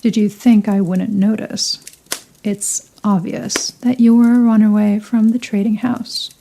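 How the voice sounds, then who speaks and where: A woman acts out an elder vampire woman for an ASMR roleplay, haughty and possessive. Her voice is very dark and mellow, a little high for a woman, and soft enough to slip toward a whisper. She draws her phrases out slowly, teasing and condescending. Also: poor audio. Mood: smug, knowing. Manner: slow, condescending, seductive, drawn-out phrasing. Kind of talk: ASMR roleplay, scripted character acting.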